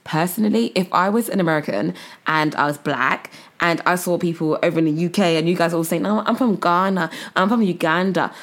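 A woman's voice: fairly low pitch, brisk, few pauses. A young woman co-hosting a podcast in casual conversation, agreeable and informal with slangy phrasing. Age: young adult. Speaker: podcast co-host.

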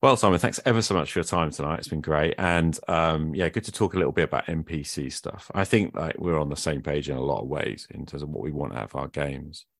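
A man with a low, deep voice hosts a conversational podcast. He talks informally, as if thinking aloud. The sound is dry, with very little room on it. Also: a little fast, vocal fry, few pauses, no echo. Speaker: podcast host. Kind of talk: casual conversational podcast talk.

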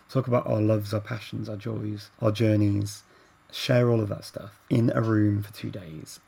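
A man, a guest telling stories in a relaxed conversation, getting animated during anecdotes. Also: lowish voice, creaky, husky. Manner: animated when telling anecdotes, laughs while talking. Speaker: guest, language learner. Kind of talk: conversational storytelling.

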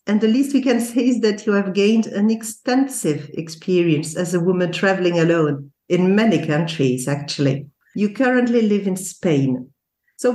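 A woman's voice: mid-range voice, a little mellow. Podcast host woman, roomy sound. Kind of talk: scripted intro then interview. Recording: roomy echo.

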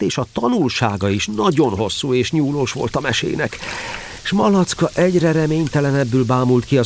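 A man narrating a scripted children's story in an expressive storytelling manner. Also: medium pitch, slight background noise.

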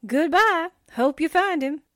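A woman's voice: very high-pitched, expressive, measured pace, fairly bright tone, no echo, clean studio sound, full character voice. A woman playing a wisecracking, sardonic newspaperwoman, full of slangy quips, in 1940s radio drama style. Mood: teasing, sardonic. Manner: sassy, slangy exclamations and quips.

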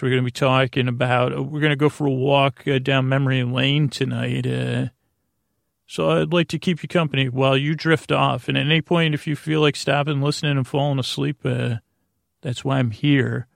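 A man hosting a bedtime podcast in a creaky voice, rambling soothingly and haltingly, often stammering and interrupting himself. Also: medium pitch, little pitch movement, no echo, clean studio sound. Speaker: host of a bedtime sleep podcast.

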